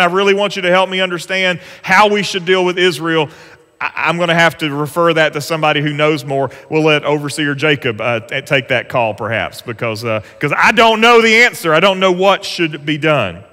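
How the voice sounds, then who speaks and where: A man delivers a sermon in a church sanctuary, his voice bright and a little high for a man. He preaches earnestly and conversationally, leaning into the scripture he reads aloud. The sound rings with the long reverb of a large hall. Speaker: pastor.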